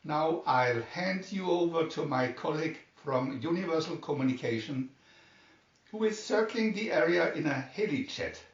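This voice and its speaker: An excited, awestruck male reporter acted out by a man reading a storybook gives exclamatory commentary. His voice sits a little high, in a roomy, slightly dull recording. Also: far from the mic. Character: excited male broadcast reporter announcing a spectacle.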